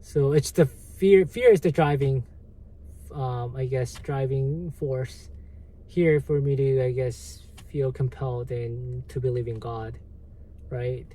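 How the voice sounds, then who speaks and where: A man with a Korean accent, a native Korean speaker, hosting a livestream and answering viewer comments in a halting, hesitant way with lots of fillers. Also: medium pitch, fairly even intonation, warm tone. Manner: slow, halting, many fillers and restarts. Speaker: livestream host.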